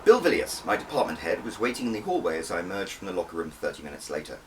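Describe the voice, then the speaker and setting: Male author-narrator: deep, expressive first-person storytelling, recorded slightly off the mic. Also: fast talker. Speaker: author reading own audiobook. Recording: distant mic with faint background noise.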